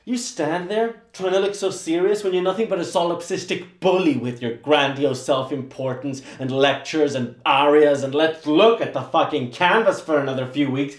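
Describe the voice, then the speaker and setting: An actor playing an angry, sarcastic young painter's assistant in a theatrical monologue, swearing in rapid tirades. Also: male voice, fairly high pitch, roomy sound, lightly acted. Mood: sarcastic fury.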